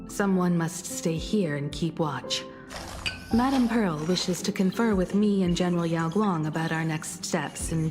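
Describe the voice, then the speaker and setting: A woman voicing a composed, gentle, dutiful noblewoman in a video game, formal and measured, over music. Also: on the low side, lightly acted.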